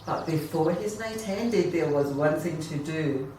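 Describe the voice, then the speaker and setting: Woman reading a kids rhyming book, expressive n slow, really echoey room. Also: low voice. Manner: expressive, theatrical. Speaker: storyteller. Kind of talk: children's picture book read aloud in rhyme. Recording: echoey room, distant mic, faint noise.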